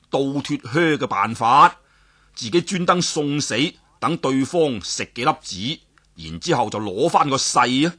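A man tells a story with a Cantonese accent, his husky voice ranging widely in pitch as he builds drama. He sits a little high for a man and throws in sudden exclamations, slipping into the characters' voices as he narrates. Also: fairly bright tone.

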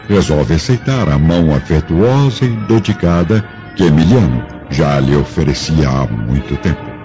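A solemn male radio narrator with a Brazilian accent speaks in grand, theatrical style. His voice is deep and widely inflected. The slightly dull recording gives it a radio broadcast feel. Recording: muffled radio broadcast with music. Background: clear background music.

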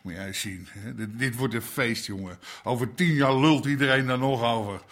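An actor playing a blustery, foul-mouthed middle-aged underworld boss with an Amsterdam accent in a radio drama. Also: male voice, medium pitch, moderate pace, a bit bright, lightly acted. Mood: expansive, boastful. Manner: swearing, blustery, coarse. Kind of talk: naturalistic radio drama acting.

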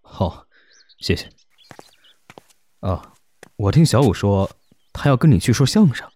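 Calm, deep-voiced protective eldest brother. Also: male voice, unhurried, dry recording. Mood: courteous, composed. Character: protective, courteous eldest brother of a young man. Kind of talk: Chinese audio drama acting.

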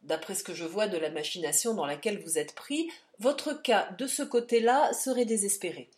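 A woman reading aloud and voicing an old male doctor who lectures in a forceful, emphatic, scornful way. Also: medium pitch, normal speed, close to an everyday voice. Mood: lecturing.

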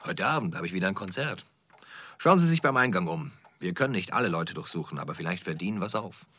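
A man's voice: low voice, animated, quick pace, bright, forceful tone, full character voice. An actor playing a brash, slangy concert promoter with worries about his business, in a comic radio play. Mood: worried. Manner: slangy, boastful, rambling.

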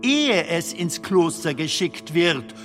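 A high-voiced, naive film comedian. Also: male voice, big pitch swings, takes it slowly, a bit bright, heavily acted. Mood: reflective. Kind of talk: radio play acting. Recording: long reverb of a tunnel, music behind.

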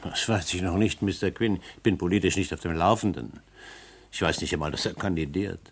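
A man's voice: sits low, a little fast, slightly rough. An older man playing a retired company director in a radio drama, courteous yet cautious and a little impatient. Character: retired male company director, polite but guarded.

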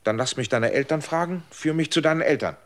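A man's voice: fairly high pitch, brisk, close to an everyday voice. An actor playing an earnest man who asks probing questions and lectures in a radio drama.

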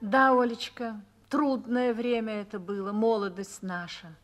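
An actress playing a calm, motherly older army wife in a Russian radio drama, speaking in a soothing, patient way. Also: a little high, unhurried, faint music behind, natural voice. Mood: warm, reminiscing.

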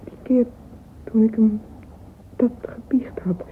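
An actress playing a vain, romantic young woman in a radio drama monologue, intimate and breathy, often whispering. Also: on the high side, slow pace. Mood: dreamy, rapt. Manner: intimate, confiding. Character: dreamy, vain, catty romantic young woman musing privately. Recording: tinny, hissy old radio recording.

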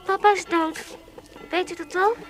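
High-voiced, curious young girl. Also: steady tone, a little slow, a bit bright, faint music behind. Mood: eager, anxious. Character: polite, curious young girl, orphaned convent pupil. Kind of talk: period audio drama acting.

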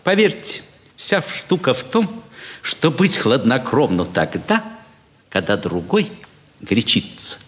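A proud, cool-headed card sharp from a classic stage comedy. His voice swings widely in pitch as he confides and boasts with theatrical emphasis, ringing in a long hall reverb. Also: wildly swooping pitch, slow, exaggerated voice. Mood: sly, instructive.

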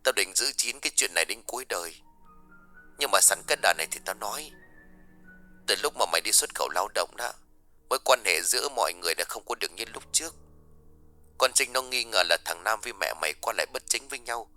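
A man voicing an older village aunt, blunt and gossipy, who talks in a hushed, confiding way and rushes through her gossip. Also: lowish voice, expressive, soft background music.

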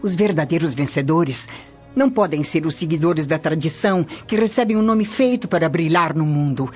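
A woman's voice: low-pitched, natural voice. An actress with a Brazilian accent playing a proud, scheming noblewoman in a radio soap, theatrical and fervent. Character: proud, passionate scheming noblewoman chasing lost love. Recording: dull lo-fi audio under music.

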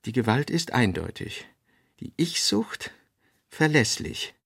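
A man narrating a radio play, reading fragmentary notes in a measured, clipped way. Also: medium pitch, big pitch swings, gravelly, a bit bright, slightly rough. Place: radio studio.

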